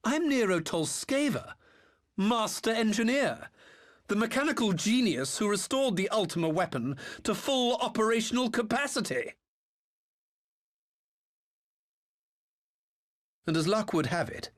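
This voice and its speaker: A man playing a smug, theatrical former imperial officer and master engineer in a video game, mocking with grandiose courtesy. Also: sits high. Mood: boastful. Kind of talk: video game cutscene acting.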